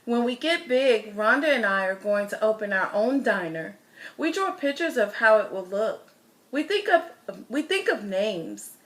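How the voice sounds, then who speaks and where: A woman with a fairly high, very bright voice reads a children's story aloud. Her delivery is expressive and deliberate, leaning on key words as a storyteller does for young listeners. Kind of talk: expressive children's picture-book read-aloud.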